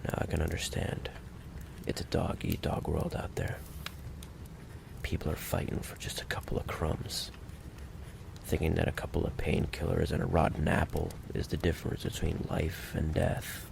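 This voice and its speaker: Guy doing a mob boss for asmr, super low n croaky, smooth threats, impatient, bit of noise behind. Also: heavily acted. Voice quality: deep, gravelly. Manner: slow taunting menace, mock-courteous endearments. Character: menacing, possessive male mafia boss, smooth-talking and impatient. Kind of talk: ASMR roleplay, acted monologue to the listener.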